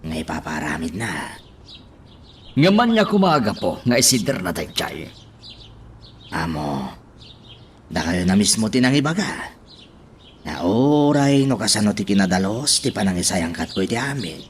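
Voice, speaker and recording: A man's voice: deep voice, big pitch swings, measured pace, pauses often, a little hiss or hum. A man acting a brooding, argumentative character in an Ilocano radio drama. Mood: brooding, insistent. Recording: radio drama with loud music bed.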